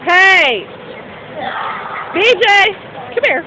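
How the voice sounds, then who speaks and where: High, lively-voiced mom filming outdoors. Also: female voice, drawn-out words, low-quality recording. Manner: coaxing, playful, calls out to the kids. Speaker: parent filming kids. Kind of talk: casual home video narration. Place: outdoors in the rain. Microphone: handheld camera. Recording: loud rain and outdoor noise.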